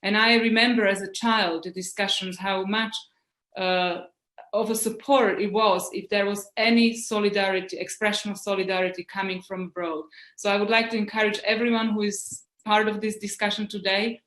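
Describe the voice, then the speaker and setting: A woman's voice: medium pitch, little pitch movement, moderate pace, fairly bright tone, reverberant. A woman politician from Slovakia with a Slovak accent, giving earnest closing remarks and appealing to the audience.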